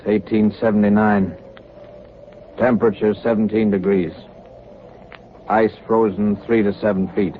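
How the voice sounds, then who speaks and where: An actor playing a dutiful, plain-spoken ship's officer, terse and matter-of-fact, in a 1930s radio drama. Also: male voice, lowish voice. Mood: flat, reporting. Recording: tinny, noisy 1938 radio broadcast.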